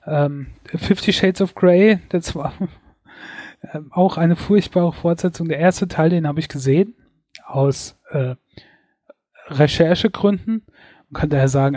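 A man's voice: a little high, mellow, no echo. A man hosting a podcast, chatting casually and with strong opinions about a year's films, with many hesitations. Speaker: podcast host. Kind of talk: casual chat reviewing films.

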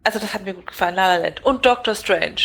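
A woman's voice: mid-range voice, fast talker, creaky, fairly bright tone. A woman co-hosting a podcast, chatting casually in a wry, self-mocking way. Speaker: podcast co-host. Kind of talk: casual chat.

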